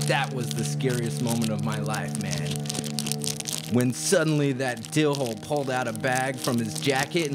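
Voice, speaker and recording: A man's voice: medium pitch, natural voice. A man in his twenties playing an easygoing young stoner camper with drawling slacker slang in a live radio play. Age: 20s. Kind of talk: live student radio theatre. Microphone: stand microphone. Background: music.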